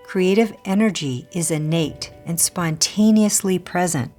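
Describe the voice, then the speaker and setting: A woman reading a scripted spiritual teaching in a measured, deliberate way, pausing between short phrases. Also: lowish voice, a little mellow. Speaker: reader of a Buddhist teaching. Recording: music playing under the voice. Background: prominent music.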